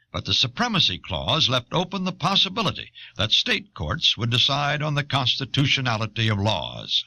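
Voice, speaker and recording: An American man reading scripted documentary audiobook narration in a measured, formal, emphatic way. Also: mid-range voice, pushed and bright.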